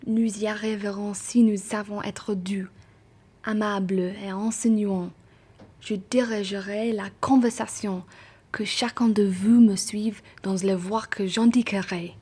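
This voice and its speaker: A woman playing a slow, husky, insinuating schemer. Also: mid-range voice, fairly even intonation, mellow. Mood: scheming. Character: smooth-talking, scheming, diplomatic genteel traveller. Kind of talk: stage-like French radio play acting.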